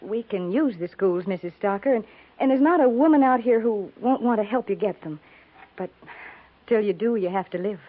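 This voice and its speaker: An American actress playing a practical, seasoned frontier army wife, neighborly but grave, speaking in a measured, matter-of-fact way in a 1950s radio drama. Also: female voice, mid-range voice, lightly acted. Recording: tinny, narrow-band 1950s radio recording.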